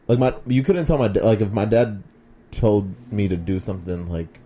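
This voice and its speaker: A young man from a Persian family talks on a podcast in a low, dark, mellow voice. He tells loose, rambling stories, swears casually and keeps correcting himself mid-sentence. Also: normal speed. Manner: rambling storyteller, swears casually, self-interrupting. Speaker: podcast co-host. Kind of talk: casual chat.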